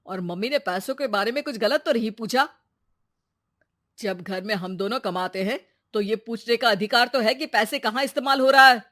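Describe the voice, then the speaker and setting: A woman voicing a stern, authoritative father in a family story, loudly scolding. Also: a little high, normal speed. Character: stern father reprimanding his son, authoritative.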